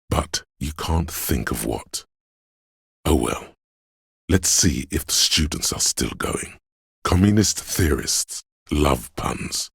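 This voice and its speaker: A man playing the pretentious, wry inner voice of an art-loving detective in a game, narrating in a theatrical, deliberate, literary manner. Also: no echo, studio quality, exaggerated voice. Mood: wry, haughty, then dreamy, sensual. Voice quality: very deep, gravelly, husky. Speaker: game narrator voicing a character's thoughts.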